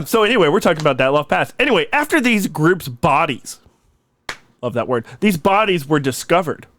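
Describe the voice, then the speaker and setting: A man's voice: on the high side, big pitch swings, moderate pace, fairly bright tone, slight background noise. A man in his thirties co-hosting a comedy podcast in a hammy put-on mock Russian accent, dropping little words as he jokes. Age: 30s. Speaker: podcast co-host.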